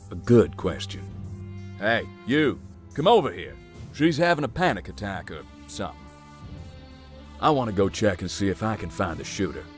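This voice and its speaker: An actor playing a calm, protective big man with a reassuring, no-nonsense way of talking in a visual novel. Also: fairly low pitch, normal speed, pauses often, faint music behind. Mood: calm, concerned.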